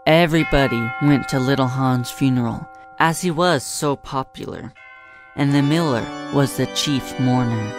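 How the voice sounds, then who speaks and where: A man playing a mild songbird who narrates a storybook tale in a measured, gentle way. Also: medium pitch, over music, lightly acted. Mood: solemn storytelling.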